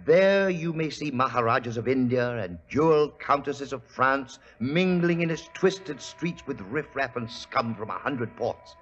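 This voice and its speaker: Suave, grave merchant, muffled recording. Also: male voice, medium pitch, animated, measured pace, few pauses, a bit bright, soft background music. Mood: grave, ominous. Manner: courteous, formal, dramatic. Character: suave, grave foreign-based spice trader, hard of hearing. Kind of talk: 1940s radio acting. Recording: muffled old radio recording, faint hiss.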